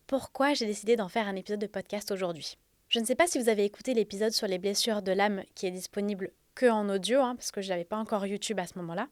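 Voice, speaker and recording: A woman in her thirties hosting a spiritual self-help podcast, speaking in an earnest, confiding way with soft fillers. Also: medium pitch, no echo. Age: 30s. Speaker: podcast host.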